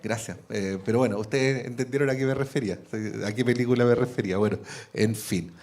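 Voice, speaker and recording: A man's voice: medium pitch, fast talker, a little mellow, slight background noise. A man moderating a radio forum, conversational and lightly joking as he wraps up.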